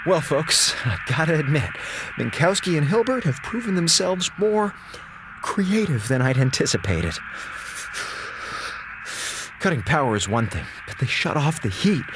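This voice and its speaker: An American actor playing an aggrieved space station communications officer in a radio drama, with theatrical, sarcastic griping. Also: male voice, fairly high pitch, big pitch swings, measured pace, long pauses, background noise. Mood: wry. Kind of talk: radio drama acting, comic log monologue. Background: music.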